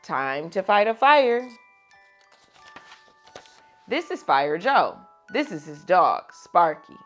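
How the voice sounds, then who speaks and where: Young woman, children's read-aloud host. Unhurried, teacherly, very animated intonation, music behind. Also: fairly low pitch. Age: young adult. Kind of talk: expressive storybook read-aloud and chat to children. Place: small furnished room indoors.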